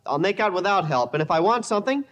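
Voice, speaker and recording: An actor playing a sullen, stubborn teenage son who protests, in old-time radio drama style. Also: male voice, sits high, expressive, fast, fairly bright tone, muffled recording. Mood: pleading, defiant. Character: sullen, defiant rich teenage son, hot-rodder.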